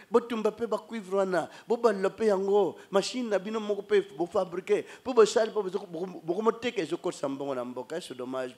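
A middle-aged Congolese man preaches with lively energy, his voice sitting high for a man. He speaks into a stand microphone in a church hall, switching between two languages and gesturing as he goes. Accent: Congolese French. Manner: animated, mixing Lingala and French, gestures while preaching. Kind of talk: sermon. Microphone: handheld mic on a stand.

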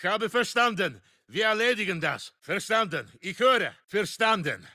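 Military shooter soldier: tough, clipped, commanding, very high and forceful male voice. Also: expressive, measured pace, dry recording, studio quality, full character voice. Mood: brisk, businesslike. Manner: clipped, commanding, often barking. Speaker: soldier voice actor in a game. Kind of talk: short scripted combat callouts for a shooter game.

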